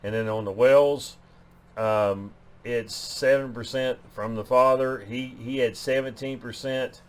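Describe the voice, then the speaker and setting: A man's voice: medium pitch, steady tone, unhurried. A man hosting a genealogy channel, talking through his DNA numbers in a loose way, often restarting phrases. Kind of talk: explaining DNA results on screen.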